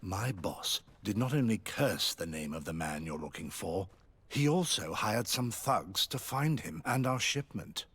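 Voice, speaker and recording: A man's voice: fairly low pitch, expressive, measured pace, fairly bright tone, breathy edge, heavily acted. A man playing a bitter, overworked alchemist's employee in a video game. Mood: disgruntled.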